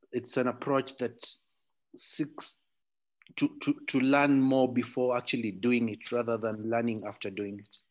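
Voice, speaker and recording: A middle-aged man giving his view in an online discussion, with careful, deliberate and formal phrasing over a computer mic. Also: medium pitch. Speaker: workshop panelist. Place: indoor room with bookshelves, video call.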